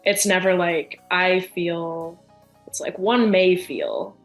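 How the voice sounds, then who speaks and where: A woman's voice: lowish voice, takes it slowly, faint music behind. A young adult woman from near Chicago, a podcast guest chatting casually and animatedly, full of fillers and quoted asides. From United States, near Chicago. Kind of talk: casual conversational interview.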